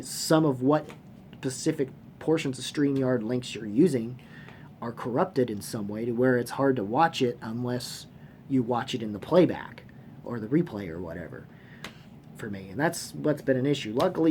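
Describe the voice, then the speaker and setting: Guy doing a casual vlog, rambles and restarts a lot, swears some, normal pitch, noisy audio. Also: darkish tone. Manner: rambling, halting, repeats and restarts phrases; casually profane. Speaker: host of a movie trivia fan channel. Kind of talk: casual unscripted vlog commentary. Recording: clearly noisy room sound.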